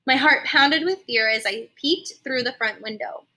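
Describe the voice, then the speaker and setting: A woman reading a children's story aloud like a teacher, animated and explaining things simply for young listeners. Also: sits high, normal speed. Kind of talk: expressive children's read-aloud with explanatory asides.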